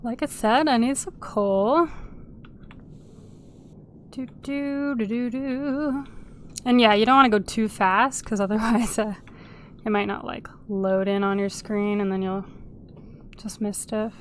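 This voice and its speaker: Woman host, a bit sniffly, slow relaxed commentary over gameplay, noticeable background noise. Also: a little high. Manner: chatty, hums and sings little tunes while playing. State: sniffly from a cold. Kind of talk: casual let's-play commentary.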